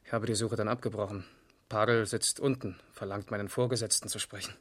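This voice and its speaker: Sober, methodical police investigator. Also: male voice, on the low side, fairly even intonation, brisk, fairly bright tone, breathy edge. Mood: sober, reporting. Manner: matter-of-fact, controlled, precise. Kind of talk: 1970s German radio crime drama acting.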